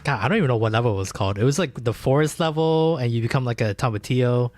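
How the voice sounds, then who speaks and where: A man's voice: medium pitch, racing, darkish tone, soft background music. A man co-hosting a casual live-stream podcast chat, teasing his co-host and laughing easily.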